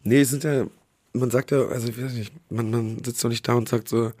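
A man who is sick and hoarse, co-hosting a podcast in casual chat, often halting to search for words. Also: medium pitch, steady tone, a little mellow, dry recording, studio quality. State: hoarse from a cold. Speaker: podcast co-host.

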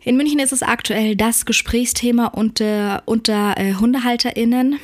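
A woman hosting a podcast, talking earnestly with many fillers and self-corrections, her voice hoarse from a cold. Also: on the high side, steady tone, moderate pace. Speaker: podcast host and dog trainer. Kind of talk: casual podcast monologue. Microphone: podcast microphone.

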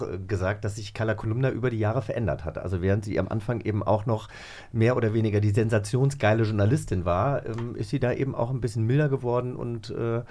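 A man co-hosting a magazine show in casual conversation, often halting and repeating words. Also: fairly low pitch, a little mellow. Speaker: co-host of an audio drama magazine show.

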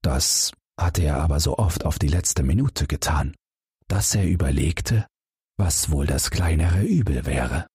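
A man reading a fairy tale aloud as a calm, expressive bedtime story, speaking directly to his listeners from a studio booth. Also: very deep voice, measured pace, husky, no echo. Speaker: audiobook narrator.

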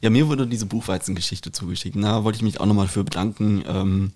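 Tiefere, ungezwungen plaudernde Männerstimme. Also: male voice, fairly low pitch, steady tone, brisk, a little mellow. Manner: rambling, digressive, self-deprecating. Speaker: podcast host. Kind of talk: casual podcast chat.

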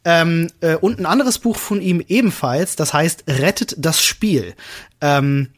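A man's voice: fairly high pitch, measured pace. A man co-hosting a casual podcast, talking in a colloquial way with youthful slang. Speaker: podcast host.

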